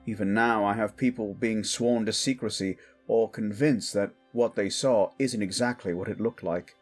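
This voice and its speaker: A man's voice: on the low side, fairly even intonation, moderate pace, slightly rough, faint music behind. A man playing a protective exiled prince standing in as king, firm, formal and weary, in a fantasy audio drama. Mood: guarded, weary. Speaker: voice actor.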